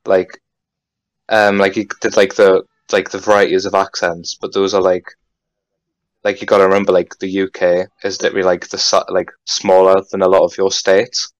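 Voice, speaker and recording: A young man with a Geordie accent talks in a low, deep voice, rambling loosely and dropping "like" into nearly every phrase. The sound is dry and close, with no room around it. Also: completely flat delivery, normal speed, no echo. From North East England. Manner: rambling, full of "like", swears freely. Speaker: guest. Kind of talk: casual podcast chat.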